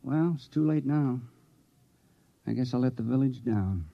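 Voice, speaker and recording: A man's voice: mid-range voice, measured pace, vocal fry. A man playing a sheepish, plain-spoken, rueful village fisherman in a 1950s radio drama. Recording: dull old radio recording.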